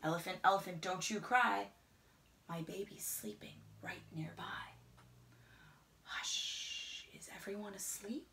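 A middle-aged woman reads a picture book aloud to children in a rhythmic, singsong way, often dropping into hushed whispers. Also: on the low side, measured pace, creaky. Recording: roomy indoor echo.

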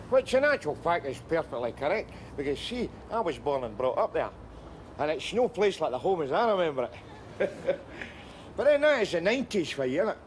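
A wry, chuckling drinker with a high, bouncing voice. He jokes cynically and keeps asking for agreement. Faint outdoor ambience hangs behind him. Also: slight background noise. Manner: asks for agreement with "eh?" and "you know". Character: boozy cheerful middle-aged man, cynical joker. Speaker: main character. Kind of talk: film drama acting.

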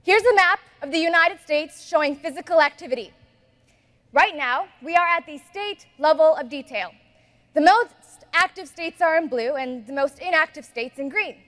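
A young woman from Ethiopia, whose first language is Amharic, presents on a large conference stage in a very high voice. Her delivery is lively and upbeat as she walks the crowd through a live product demo. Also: moderate pace. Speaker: software engineer presenting.

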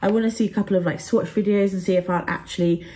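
A young woman talks through her shopping in a relaxed, chatty vlog. Her voice is mellow and soft-edged, sitting at an ordinary middle pitch, and she fills her sentences with easy fillers. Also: quick pace, a little hiss or hum. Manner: chatty, upbeat, lots of fillers. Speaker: travel and beauty vlogger. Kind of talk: casual haul chat to camera.

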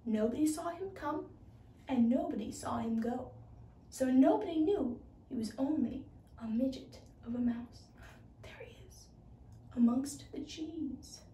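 A teenage young woman reads a children's story aloud in a deliberate manner, occasionally stumbling over a word. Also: high voice, dark tone. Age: teens, high school junior. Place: small room indoors. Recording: roomy, distant microphone.

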